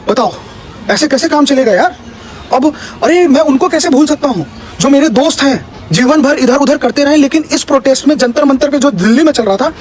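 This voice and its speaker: A young man with a very high voice rants sarcastically about politics. He fires off one mocking rhetorical question after another. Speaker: political commentator.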